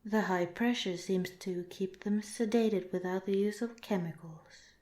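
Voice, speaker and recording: Woman acting as clinical alien scientist, ASMR roleplay, very slow, soft and half-whispered, formal, calm, roomy echo. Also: medium pitch, natural voice. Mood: detached, observing. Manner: measured, formal, detached, scientific phrasing. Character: calm, clinical, curious alien researcher studying a captured human. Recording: roomy, echoing voice.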